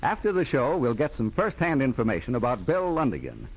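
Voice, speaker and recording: A man's voice: mid-range voice, huge pitch swings, a little fast, muffled recording. A man hosting a 1940s radio theatre in a courtly, theatrical manner. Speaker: director and host of radio theatre. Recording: vintage 1940s radio transcription.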